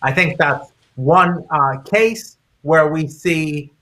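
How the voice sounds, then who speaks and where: Halting, bright-voiced man explaining his case. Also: a little high. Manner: halting, hesitant delivery with repeated words. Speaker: guest author and speaker. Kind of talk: public discussion, explanatory talk.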